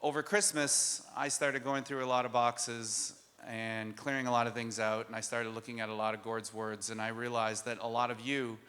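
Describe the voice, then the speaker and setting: A man's voice: medium pitch, little pitch movement, normal speed, hardly any pauses, sharp tone, smooth. A man giving a live introductory speech at an event. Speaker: event speaker introducing a show. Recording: long hall reverb.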